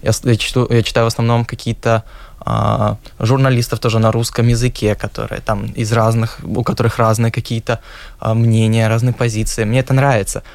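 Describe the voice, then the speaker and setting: A teenage schoolboy from Riga, a Russian native speaker, answering interview questions confidently with some halting starts. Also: male voice, mid-range voice, flat delivery, vocal fry, darkish tone, dry recording. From Riga, Latvia. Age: teens. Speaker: guest, schoolboy.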